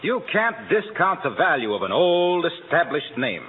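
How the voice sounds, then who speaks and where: A tinny vintage radio recording carries a hearty salesman pal. His voice is highish and very animated, cheery and smooth-talking in old announcer style. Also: moderate pace, a little hiss or hum. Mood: persuasive, cheery. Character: cheery, chummy salesman friend of the couple. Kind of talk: 1940s radio comedy acting and sales pitch.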